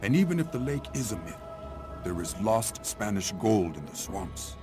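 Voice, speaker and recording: Low-voiced, grave old mentor. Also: male voice, very animated, moderate pace, darkish tone, faint music behind. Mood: solemn. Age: older. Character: grave, wise old great-uncle, seasoned frontier hero. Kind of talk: video game cutscene acting.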